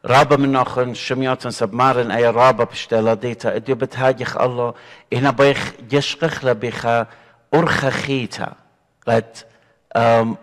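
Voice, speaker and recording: A middle-aged man with an Assyrian accent teaches scripture as a deacon, speaking earnestly and expressively. His voice sits at an ordinary pitch for a man. A long reverb trails each phrase, as if in a large hall. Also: normal speed. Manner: earnest, gesturing, expressive preaching. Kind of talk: bible study teaching. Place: indoor studio before a painted church backdrop.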